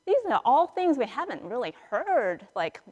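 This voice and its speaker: A middle-aged woman priest giving a conversational storytelling sermon in a large church, acting out dialogue with dramatic pauses. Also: fairly high pitch, big pitch swings, a little mellow. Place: large church sanctuary, indoors, standing and moving before the altar. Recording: reverberant church hall, picked up in a large space.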